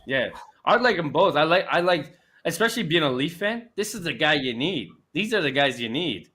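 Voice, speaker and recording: A man's voice: fairly high pitch, sing-song, brisk, sharp tone. A young Canadian man hosting a casual sports chat show, talking in loose rambling restarts with easy laughs.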